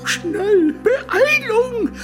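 Squeaky helpful lil ghost, super high, urgent but drawn out words, kids radio play. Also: female voice, animated, very slow, music in the background, heavily acted. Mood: frantic, urgent. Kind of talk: children's radio play acting.

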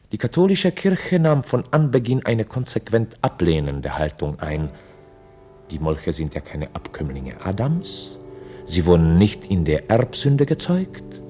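The chronicler of a radio drama. His voice sits a little low and moves with lively intonation, while his scripted narration stays formal and matter-of-fact. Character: chronicler recounting a mock history.

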